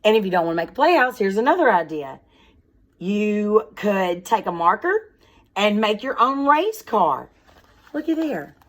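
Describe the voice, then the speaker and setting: A woman's voice: medium pitch, big pitch swings, moderate pace. A woman speaking as a schoolteacher, reading aloud and explaining things in simple, instructive words addressed directly to young children.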